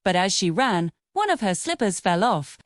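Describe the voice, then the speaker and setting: A woman narrating a fairy tale for children in a scripted, expressive, sing-song storytelling manner. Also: medium pitch, moderate pace, dry recording, studio quality.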